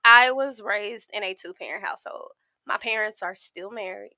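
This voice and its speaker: A woman's voice: medium pitch, normal speed, pushed and bright, no room sound. A woman in her twenties from Arkansas with a Southern American accent, talking with lots of energy and emphasis as a podcast guest. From Arkansas, USA. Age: 20s. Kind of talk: casual interview chat. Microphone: mic at some distance from the mouth.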